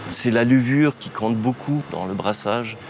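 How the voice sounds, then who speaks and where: Brewer, unhurried, pause-heavy, low voice over a guitar music bed. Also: male voice. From Bavaria, Germany. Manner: slow and halting, many pauses and fillers. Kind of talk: interview.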